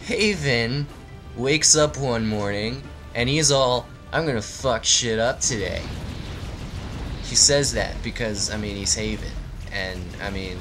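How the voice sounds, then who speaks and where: A man hosting a video game let's play, giving rambling, joking commentary in a deadpan, tongue-in-cheek, meandering way. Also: medium pitch, slow, music in the background.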